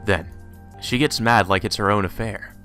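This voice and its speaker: A man's voice: lowish voice, moderate pace, sharp tone, soft background music. A man doing a let's play, reading visual novel text aloud with occasional asides. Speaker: let's player.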